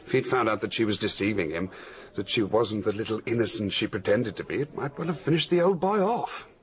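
An actor with an English accent playing an easygoing, cynical widower in a radio drama, frank and flippant in manner. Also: male voice, lowish voice, lively intonation, fairly quick, lightly acted. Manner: flippant, candid, wry. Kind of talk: British radio drama acting.

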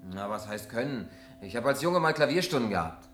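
A man's voice: mid-range voice, animated, brisk, a bit bright, soft background music. An actor in a German radio play, playing a wry, good-natured man who grumbles in a dry, sceptical way. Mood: wry, modest.

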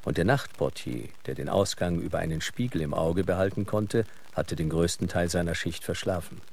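A man narrating a German radio drama as a composed storyteller, reading in a measured, dry, literary manner. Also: low voice, vocal fry, darkish tone, slight background noise. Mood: wry, measured. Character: composed literary male narrator of a Western mystery.

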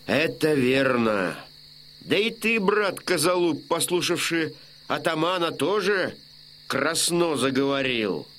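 An actor playing a plain-spoken, wry Cossack fighter in a Russian audio drama, with a folksy manner. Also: male voice, on the high side, animated, slow pace, fairly bright tone. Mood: approving.

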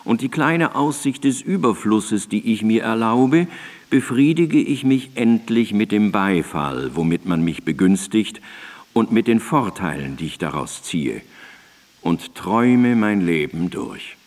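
An actor playing a courteous, ailing philosopher and teacher, reading in formal, measured old-fashioned diction for a radio drama. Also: male voice, fairly low pitch, animated, darkish tone. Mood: reflective, content. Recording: reverberant studio, digitized cassette radio play.

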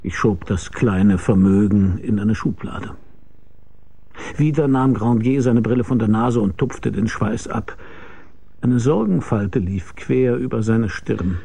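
Hard-boiled radio drama lead: cynical investigator, husky and creaky, laconic, dry delivery. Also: male voice, on the low side, slow pace, warm tone, full character voice. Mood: dry narration. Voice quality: gravelly, husky. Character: world-weary, cynical male private investigator. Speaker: narrator and lead character.

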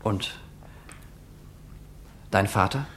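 An actor playing a loyal, worried young friend in a radio play, speaking quietly with concern. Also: male voice, on the low side, drawn-out words.

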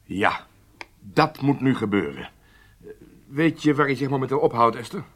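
A man playing a brisk, wary, commanding intelligence chief in a Dutch radio drama, exacting and authoritative. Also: medium pitch, expressive, fairly bright tone. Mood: decisive, commanding.

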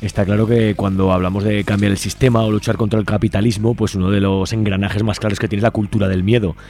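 Male community radio interviewer, Aragonese accent from Spain, rapid colloquial delivery, slightly low pitch. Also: little pitch movement, vocal fry, a little mellow, slight background noise. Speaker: radio show host interviewing a guest.

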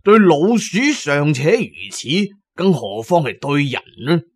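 A man's voice: a little high, very animated, a little slow, breathy edge, full character voice. A man voicing a coarse, domineering warlord officer in a Cantonese audio drama. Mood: gruff approval. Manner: coarse, commanding. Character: gruff, domineering warlord officer, grown man, magnanimous but brutal.

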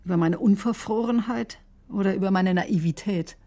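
A woman's voice: medium pitch, normal speed, dark tone, lightly acted. An actress playing a headstrong, defensive female doctor in a radio crime drama. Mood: sober, bitter. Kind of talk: German radio drama acting.

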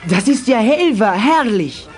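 A man's voice: high-pitched, lively intonation, a little slow, faint music behind. A young male actor playing a cheerful, clever schoolboy in a children's detective radio play. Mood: excited, puzzling out.

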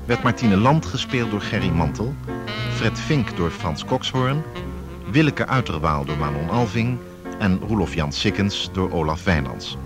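A man reading scripted closing credits for a radio drama serial as its announcer. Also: low voice. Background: music.

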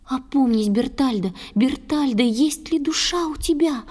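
Soft-toned innocent young water nymph. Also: female voice, high voice, measured pace. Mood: pleading. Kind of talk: dramatic verse audio play acting. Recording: long hall-like reverb.

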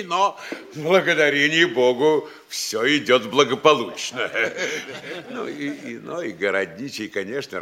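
A self-righteous middle-aged town mayor in a classic Russian radio comedy. He fawns pompously and theatrically, speaking slowly in a bright, forceful voice with widely swinging pitch. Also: full character voice.

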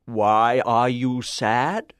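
A woman voicing a solemn, all-knowing old wizard who declares things slowly and gravely in a storybook reading. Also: rumbling low, steady tone, no room sound, clean studio sound, voice pushed down, exaggerated voice. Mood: grave, stern.

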